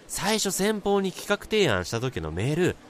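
Junior office worker, played by a man: gossipy, anxious, very animated delivery, radio drama. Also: high voice, normal speed. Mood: indignant, gossipy. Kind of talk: Japanese radio drama acting.

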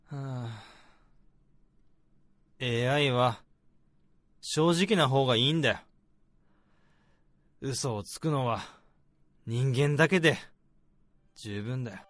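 A man's voice: medium pitch, slow, pauses often, fairly bright tone, no echo. A man playing a blunt, hot-tempered AI developer in a radio drama, with dramatic, emphatic delivery. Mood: remorseful, weary. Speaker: lead character and narrator.

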